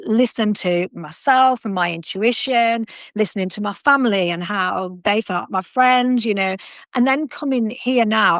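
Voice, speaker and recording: A middle-aged woman, hosting a podcast interview in a chatty, animated way, often restarting her sentences. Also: medium pitch, no echo. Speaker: podcast host. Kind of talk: casual conversational interview.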